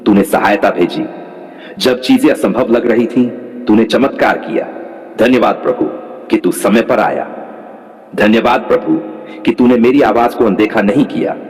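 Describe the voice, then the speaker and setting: A man's voice: lowish voice, darkish tone. Man praying fervently in long reverb. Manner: fervent, rhythmic repeated declarations. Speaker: prayer leader. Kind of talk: scripted devotional prayer.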